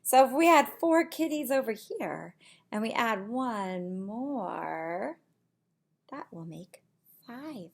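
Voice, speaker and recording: A middle-aged woman reading a picture book to young children in a deliberate, sing-song way, with simple asides, recorded in a room at home. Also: a little high, animated, vocal fry. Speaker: preschool teacher reading aloud. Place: small indoor room at home.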